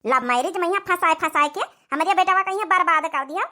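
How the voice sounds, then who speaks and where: A woman's voice: very high-pitched, fast talker, sharp tone. A woman playing a strict, scolding village mother-in-law in a cartoon comedy, with an Awadhi accent and a nagging, domineering manner. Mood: stern, scolding.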